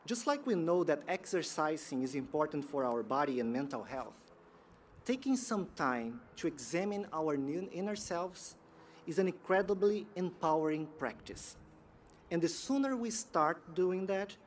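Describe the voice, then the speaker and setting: A man, giving a prepared graduation keynote in a measured, earnest way from a podium outdoors. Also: on the high side, a little hiss or hum. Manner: measured, earnest delivery with pauses. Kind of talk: prepared commencement speech. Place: outdoor sports field ceremony. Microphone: podium microphone.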